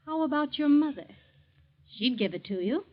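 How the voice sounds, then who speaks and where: A woman's voice: high voice, lively intonation, moderate pace. A woman playing a scheming, cold-blooded young wife in a 1940s radio drama, insistent and urging. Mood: questioning, scheming. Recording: muffled 1940s radio recording.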